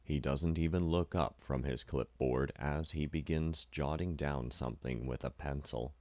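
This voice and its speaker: A man's voice: very deep voice, a little slow, no echo. A man reading scripted first-person visual novel narration as narrator and voice actor, over plucked guitar music. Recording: loud music behind the voice.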